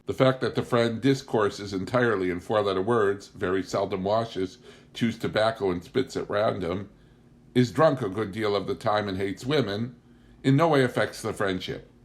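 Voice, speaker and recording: Male audiobook narrator, low-pitched, for first-person literary memoir. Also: moderate pace. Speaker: audiobook reader.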